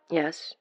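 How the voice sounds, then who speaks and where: An actress playing a warm, earnest young woman in an audio drama, gentle and reflective, with sighs. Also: no echo. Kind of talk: naturalistic audio drama acting.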